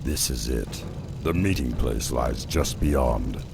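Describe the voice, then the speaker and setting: Gruff half-ogre warrior, extremely low pitch, heavy gravel, music behind. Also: male voice, expressive, slow, heavily acted. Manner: blunt, terse and gruff. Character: rugged male half-ogre beast hunter, blunt and loyal. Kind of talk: video game cutscene acting.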